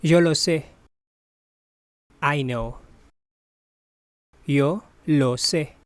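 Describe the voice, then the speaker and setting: A teacher reading a phrasebook drill. Each phrase is said once, translated, then repeated slowly in parts. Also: mid-range voice, no echo. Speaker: language teacher.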